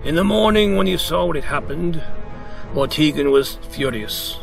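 A man reading a storybook aloud in a deliberate, theatrical narrating style. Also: on the high side, animated, creaky, over music. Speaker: story reader.

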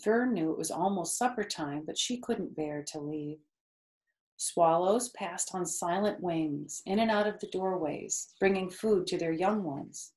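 A woman in her fifties reading a children's story aloud, measured and explanatory. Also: fairly low pitch, darkish tone. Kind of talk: read-aloud storybook narration. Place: living room sofa, indoors. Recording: roomy, echoey indoor sound.

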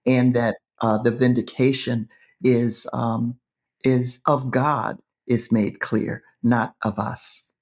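A woman's voice: very deep voice, fairly even intonation, slow, a little mellow. A middle-aged woman, a professor co-hosting, speaking earnestly and in winding sentences over a video call from a room with bookshelves. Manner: earnest, winding, many fillers. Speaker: seminary professor and podcast co-host. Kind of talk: conversational discussion. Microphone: webcam on video call.

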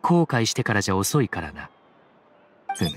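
A man playing a solemn, dignified chief judge in a Japanese game dub, speaking in formal, measured, stiffly courteous phrases. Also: fairly low pitch, sing-song, slightly rough. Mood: calm, serious. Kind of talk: Japanese anime game voice acting. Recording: music behind the voice. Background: game background music.

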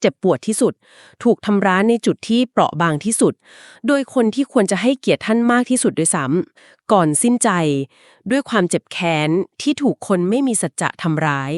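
A woman's voice: mid-range voice, very animated, darkish tone, dry recording. Woman retelling a legend, dry close sound. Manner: explains at length with polite particles and filler pauses. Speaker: podcast co-host. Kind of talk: conversational storytelling of a folk legend.

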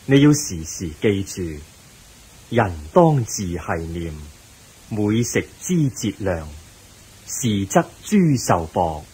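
A man with a Hong Kong Cantonese accent playing a kindly, wise Buddha in a radio drama, gentle, measured and instructive. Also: lowish voice, sing-song, a little hiss or hum, rough recording. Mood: gently advising.

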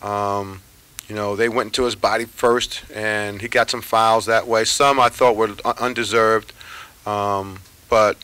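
A middle-aged man, a basketball head coach, answering reporters after a game in measured, unhurried talk full of fillers and pauses. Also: lowish voice, level tone, sharp tone, clear, resonant tone. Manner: measured, thinking aloud with many fillers. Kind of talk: postgame press conference answers. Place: press room with sponsor backdrop. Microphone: table microphones at a press conference.

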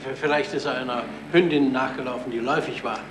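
A man's voice: medium pitch, fairly even intonation, fast, close to an everyday voice. A man acting a meek, hesitant lodger in a 1970s German radio play. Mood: timid. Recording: roomy, noisy vintage radio recording.

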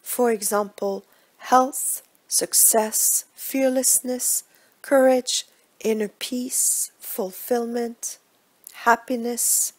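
A woman leads a guided relaxation practice as a meditation teacher. Her voice sits a little high and is breathy and soft. She speaks very slowly and in measured phrases, often letting the ends trail into a hush. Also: fairly even intonation. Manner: slow, measured, hushed delivery with soft breathy phrase endings. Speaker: yoga nidra meditation guide.

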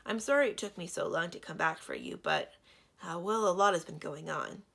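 A woman doing a brisk young princess. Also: on the low side, vocal fry, fairly bright tone, breathy edge, exaggerated voice.

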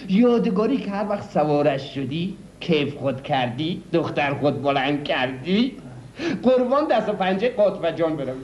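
An actor playing a boastful, pompous used-car dealer who swings between loud and hushed delivery, in a comedy sketch. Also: male voice, high-pitched, animated, a little hiss or hum. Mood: scheming. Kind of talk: old comedy sketch acting. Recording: dull lo-fi old recording, echoey.

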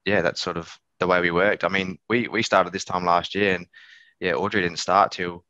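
A man in his thirties, a business owner giving casual, halting interview answers, thinking aloud. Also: low voice, flat delivery, sharp tone, dry recording. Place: small office on a video call. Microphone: headset. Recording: slightly dull video-call audio.

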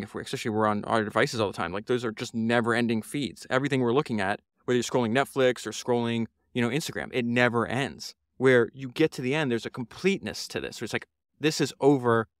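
A man's voice: medium pitch, fairly bright tone, no room sound, clean studio sound. A man, hosting a solo podcast and talking through a book in an earnest, rambling way with many fillers and restarts. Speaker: podcast host.

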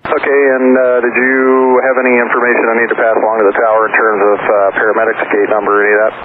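Very rapid approach controller on radio. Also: male voice, mid-range voice, completely flat delivery, narrow, tinny audio. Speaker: approach air traffic controller. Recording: static-filled radio transmission.

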